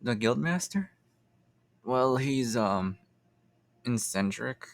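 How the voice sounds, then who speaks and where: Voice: medium pitch, animated, slow, dry recording, studio quality. A voice actor playing an earnest, principled young rookie Pokemon explorer in a comic dub, hesitant but ready to protest. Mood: thoughtful, hesitant. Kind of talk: animated comic dub acting.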